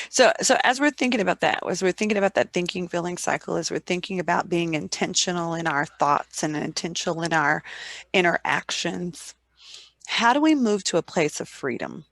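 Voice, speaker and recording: A woman's voice: fairly low pitch, lively intonation, normal speed, a bit bright, no echo. A woman hosting an online interview, encouraging her guests with affirming murmurs. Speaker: host.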